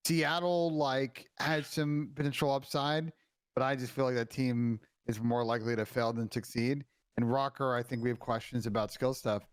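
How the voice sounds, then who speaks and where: A young man talks esports as a panel co-host and gives opinions on teams and players, often hedging with "I think". His voice is mid-pitched and recorded dry, right up close. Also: steady tone, moderate pace, clean tone, dry recording. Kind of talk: casual esports chat.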